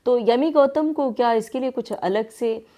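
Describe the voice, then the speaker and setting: A woman's voice: a little high, a little slow, warm tone. A woman hosting a conversational radio interview, asking flowing, formally worded questions in an attentive manner.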